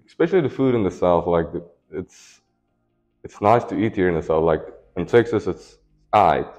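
A South African-born rugby player talking casually on a panel in short, plain sentences with a dry humor. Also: male voice, low-pitched, animated, moderate pace, darkish tone. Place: indoor room with a branded backdrop.

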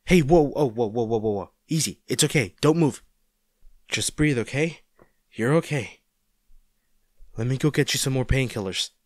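A man's voice: mid-range voice, no echo, clean studio sound, natural voice. A man acting a caring, worried boyfriend in an intimate audio roleplay, speaking tenderly and coaxingly to his injured partner. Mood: urgent calming, then tender reassurance. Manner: tender, coaxing, hesitant, speaking directly to the listener.

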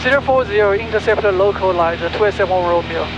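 A male pilot with a high voice makes short radio readbacks. He speaks from a small plane's cockpit, where very loud engine noise surrounds a muffled recording. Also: fast talker. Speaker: private pilot. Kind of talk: radio readbacks to air traffic control. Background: loud aircraft engine noise.

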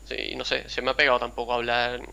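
A man's voice: medium pitch, completely flat delivery, fast, vocal fry, bright, forceful tone, clear, resonant tone. A young man from a town near Seville with a mild Andalusian accent, chatting casually and rambling as he hosts a talk over a video game. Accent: mild Andalusian Spanish. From Spain, a town near Seville. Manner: rambling, chatty, acts out quotes. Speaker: gaming channel host.

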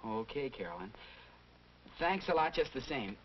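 A man's voice: medium pitch, big pitch swings, normal speed, far from the mic, natural voice. A young man playing a casual, easygoing teenage boy in a 1940s instructional film. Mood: hopeful. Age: young adult. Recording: muffled, noisy 1940s film soundtrack.

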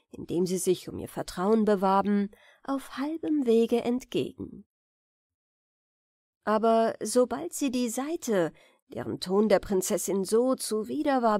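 Slow, high-voiced woman reading fairy tales. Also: mellow, no echo, studio quality. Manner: measured, careful storybook reading. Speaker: audiobook narrator. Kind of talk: expressive scripted fairy-tale narration for bedtime.

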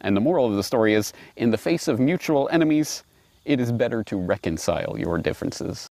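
A middle-aged man presenting and reading aloud in a musing, wry, expressive way, recorded outdoors on a lapel mic. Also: mid-range voice, fairly quick, dry recording. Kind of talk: reflective commentary and storybook reading. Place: outdoors in a grassy park.

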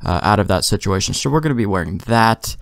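A man's voice: on the low side, animated, quick pace, gravelly, no room sound, clean studio sound. A man giving casual, wry let's play commentary, joking and swearing.

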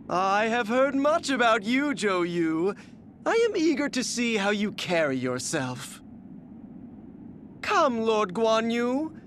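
An actor playing a vain, flamboyant warrior obsessed with beauty, with refined, flowery, effeminate delivery, in a video game. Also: male voice, very high voice, a bit bright, slight background noise, exaggerated voice. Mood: admiring. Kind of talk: theatrical video game character acting.

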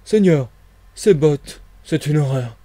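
A man's voice: a little high, animated, slow, warm tone, no echo. A man voicing a grumbling, bewildered tramp in an audiobook, blustering and full of exclamations. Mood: disgusted. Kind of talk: dramatized audiobook reading.

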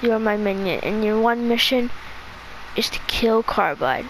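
A woman's voice: fairly high pitch, expressive, normal speed, close to an everyday voice. A woman playing a bossy, scheming villain master in an improvised video game roleplay, speaking in an offhand, commanding way. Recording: very noisy home game recording.

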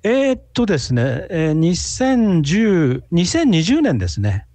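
Male guest researcher, slightly high, very lively intonation, conversational answers. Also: measured pace, no room sound.

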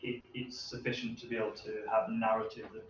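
A man in his thirties with a fairly low voice explains technical matters informally. He speaks haltingly, thinking aloud. He sounds distant from the microphone in an echoey room, with some background noise. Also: monotone. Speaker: researcher presenting at a seminar. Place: medium seminar room with hard walls. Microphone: distant camera microphone. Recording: roomy, distant, muffled, noisy room recording.

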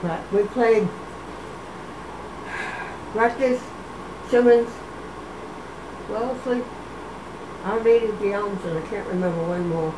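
A woman's voice: medium pitch, slow, pauses often, reverberant, far from the mic, background noise. An older woman telling proud, chatty stories of her college days in an interview. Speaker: alumna interviewee. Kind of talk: reminiscing interview.

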